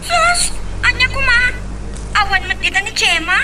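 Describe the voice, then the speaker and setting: A woman's voice: very high voice, big pitch swings, moderate pace, bright, forceful tone, far from the mic, a little hiss or hum, exaggerated voice. A woman with an Ilocano accent playing a clingy, pleading young woman on a call in an audio drama. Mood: pleading, coy.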